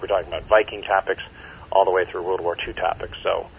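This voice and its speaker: Deep male voice, enthusiastic event guest, muffled lo-fi phone recording. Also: flat delivery, fast, vocal fry. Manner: rambling, many fillers, enthusiastic. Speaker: event organizer, interview guest. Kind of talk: phone interview.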